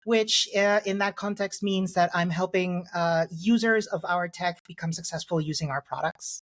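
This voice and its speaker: A woman's voice: on the low side, moderate pace. Joking woman guest from Chile. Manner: self-deprecating, joking. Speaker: podcast guest. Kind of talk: casual interview.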